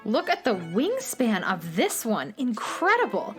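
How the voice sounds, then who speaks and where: A woman's voice: fairly high pitch, wildly swooping pitch, unhurried, soft background music. An actress playing a vain, bossy female hatchling in a children's radio comedy, boastful and dramatic. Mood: haughty curiosity. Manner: boastful, dramatic, critical of others' mistakes. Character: vain, bossy female hatchling seeking her grand origins.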